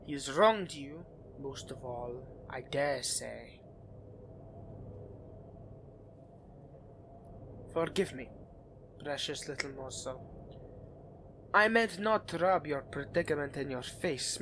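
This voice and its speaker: A man's voice: on the high side, sharp tone, slight background noise. A man playing a suave, scheming vampire aristocrat in a dramatic roleplay addressed to the listener, with courtly, haughty, theatrical phrasing. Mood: sympathetic, coaxing.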